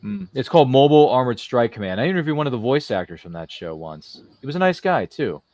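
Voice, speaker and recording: A man with a slightly low-pitched voice hosts a casual interview show. He rambles along chattily, dropping in quotes and small impressions. The sound is dry, with very little room in it. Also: very animated, quick pace, darkish tone, no room sound. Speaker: show host.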